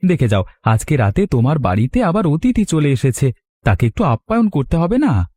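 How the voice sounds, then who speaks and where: An actor playing a sly, boastful village man with a husky voice and a swaggering, cajoling manner in an audio story. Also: on the high side, big pitch swings, moderate pace, warm tone. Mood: sly, menacing. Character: sly, boastful village husband, a menacing night rogue.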